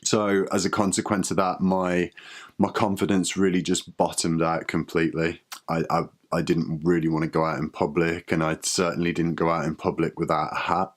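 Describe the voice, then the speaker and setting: A man talks to camera in a personal video diary. His voice sits somewhat low, and his delivery is candid and reflective, with occasional halting restarts. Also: level tone. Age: 30s. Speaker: vlogger.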